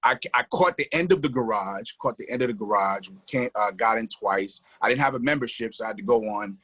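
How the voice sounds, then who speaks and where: A man's voice: lowish voice, fairly quick, slightly rough. A middle-aged man reminiscing casually and colloquially, often repeating his phrases. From New Jersey. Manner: colloquial, repeats phrases, gives shout-outs.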